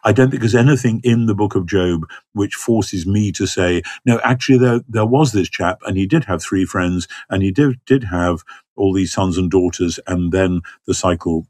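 An older man talks through a question slowly, circling back with ums and stammered restarts. His voice sits low. The recording is dry and close. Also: darkish tone, no echo. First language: not Hebrew. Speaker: biblical scholar guest. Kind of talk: thoughtful conversational explanation.

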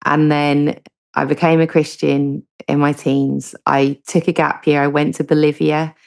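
A woman's voice: sits low, flat delivery, measured pace, gravelly, a little mellow. A woman from southern England with a southern English accent, a guest author answering interview questions in a chatty way with many hesitations.

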